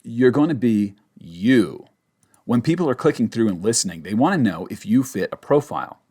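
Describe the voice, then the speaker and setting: A man's voice: medium pitch, lively intonation, normal speed, dark tone, breathy edge. A man who works as a voice actor, teaching in a casual, conversational and emphatic way with demonstrations. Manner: emphatic, conversational, checks in with "okay?". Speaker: voice actor giving a tutorial. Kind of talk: casual instructional talk with demonstrations.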